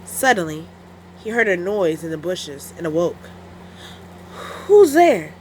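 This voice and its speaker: A woman storyteller narrating a children's story in an expressive, deliberate read-aloud style. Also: lowish voice, a little hiss or hum, rough recording.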